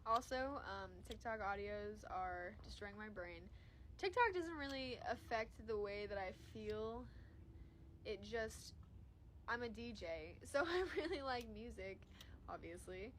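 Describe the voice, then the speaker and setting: A woman's voice: medium pitch, animated, unhurried, fairly bright tone, slight background noise. A woman in her twenties, chatting casually on a phone livestream from her room, rambling and swearing freely. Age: 20s. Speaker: social media creator and DJ. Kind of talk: casual livestream chat to viewers. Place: her room at home, indoors.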